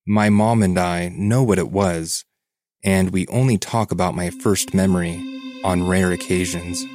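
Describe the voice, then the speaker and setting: A man's voice: deep voice, fairly even intonation, a little slow, a little mellow, no room sound, soft background music. A man reading a story aloud as a podcast host, in scripted narration.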